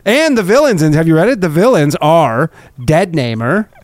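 Brief: male podcast co-host, animated pitch, sarcastic quips, dry close mic. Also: moderate pace, no echo. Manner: sarcastic, deadpan asides. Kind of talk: casual comedy podcast chat.

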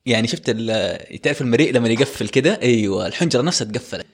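A young man, co-hosting a casual podcast and telling personal stories in a lively way. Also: medium pitch, rapid-fire, breathy edge. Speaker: podcast co-host.

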